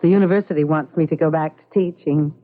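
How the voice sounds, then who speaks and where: An actress playing a subdued, haunted widowed university teacher in an old-time radio drama. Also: female voice, sits low, fairly even intonation, fast, close to an everyday voice. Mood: sad, uneasy. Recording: narrow-band vintage radio recording.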